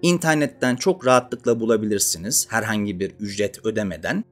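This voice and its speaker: A man's voice: lowish voice, fairly quick, soft background music. A man, hosting a conversational educational talk on social science with emphatic phrasing. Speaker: host of a social science channel. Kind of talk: conversational educational lecture to camera. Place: indoor studio with dark curtain backdrop.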